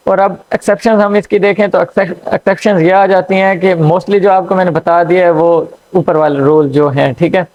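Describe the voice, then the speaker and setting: A man giving a law lecture, explaining slowly with frequent fillers and checks. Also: sits high, fairly even intonation, darkish tone. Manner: hesitant, frequent fillers and checks "theek hai". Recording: dull lo-fi recording.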